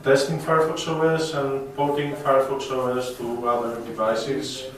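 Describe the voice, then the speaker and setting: A young man with a Greek accent giving an informal, unscripted talk to a group in a hesitant, halting way. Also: medium pitch, little pitch movement. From Greece. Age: young adult. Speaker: student speaker at a meetup. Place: echoey indoor event room. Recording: echoey room, distant mic, background noise.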